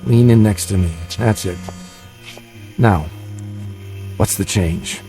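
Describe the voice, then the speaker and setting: A man's voice: lowish voice, slow, mellow, soft background music. An American actor playing a middle-aged, secretive family father in a radio drama, commanding, guarded and urgent. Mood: guarded, conspiratorial. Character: tense secretive family father plotting an escape.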